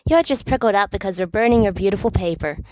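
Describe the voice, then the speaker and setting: A woman's voice: mid-range voice, quick pace, dark tone, dry recording, close to an everyday voice. A woman playing an earnest, bookish teenage boy in a storybook reading, speaking in a precise, reproachful way. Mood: exasperated protest.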